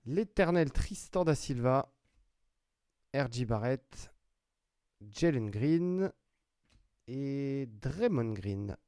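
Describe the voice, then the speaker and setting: A man's voice: medium pitch, lively intonation, drawn-out words, long pauses, warm tone, clear, resonant tone, dry recording, clean studio sound. A man hosting a trading card break, chatting casually and announcing each player pulled as he opens packs. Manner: chatty, announces player names one by one, fillers and restarts. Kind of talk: live commentary while opening card packs.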